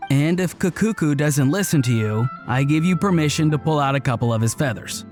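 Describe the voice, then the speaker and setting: A man's voice: on the high side, lively intonation, brisk, over music, natural voice. An actor playing an upbeat, loyal, playful young man with a breezy, earnest manner in a video game. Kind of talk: video game cutscene voice acting.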